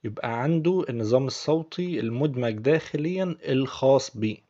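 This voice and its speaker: A man's voice: mid-range voice, animated, brisk, dark tone, lo-fi sound, slight background noise. A man with an Egyptian Arabic accent, patiently explaining English phrases word by word as a teacher.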